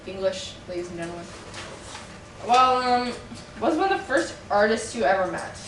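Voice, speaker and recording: A young woman from Canada hosting an amateur mock interview, teasing her guest with deadpan jabs and lots of ums. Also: mid-range voice, normal speed. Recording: echoey room, distant mic, background noise.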